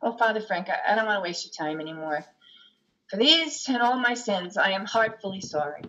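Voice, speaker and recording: A woman playing a guilt-ridden, flustered mother at confession, rambling and halting in a play reading. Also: medium pitch, expressive, fairly bright tone, natural voice. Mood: apologetic. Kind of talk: play reading on a video call. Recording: dull, lo-fi video call.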